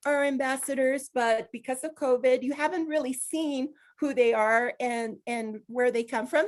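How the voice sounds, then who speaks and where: A woman hosting an online meeting for a women's group, making upbeat, chatty announcements and laughing easily on a video call. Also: sits high.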